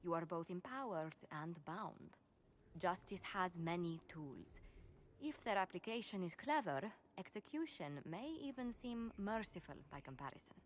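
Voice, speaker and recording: A woman playing a poised diplomatic advisor in a fantasy game, speaking in a measured, courtly and earnest manner. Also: on the low side, lively intonation, a little hiss or hum, lightly acted. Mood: formal, persuasive. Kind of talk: video game dialogue.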